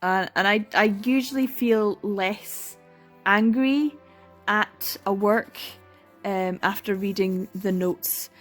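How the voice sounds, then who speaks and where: A woman's voice: mid-range voice, slow, faint music behind. A young woman in a casual reading vlog, talking through a manga with exasperated sighs, laughs and dramatic repetition. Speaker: book vlogger.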